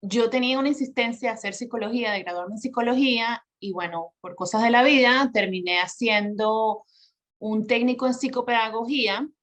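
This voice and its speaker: A woman's voice: a little high, fairly quick, a bit bright. A woman from Venezuela with a Venezuelan accent, a podcast guest telling her life story in a chatty way, laughing often and using many fillers. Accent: Venezuelan Spanish.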